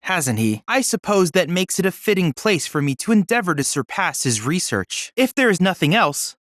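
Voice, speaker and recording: An actor playing a polite, earnest boyish android research assistant in a video game, with formal, precise, bookish diction. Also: male voice, high voice, a bit bright, no room sound, studio quality. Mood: thoughtful, curious. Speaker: game character, research guide. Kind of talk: scripted video game voice acting.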